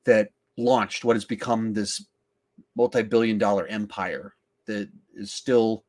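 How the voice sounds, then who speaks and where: A man's voice: lowish voice, steady tone, unhurried, darkish tone. A man hosting a podcast, chatting casually about films in an emphatic way, often thinking aloud. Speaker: podcast host. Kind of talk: casual film discussion.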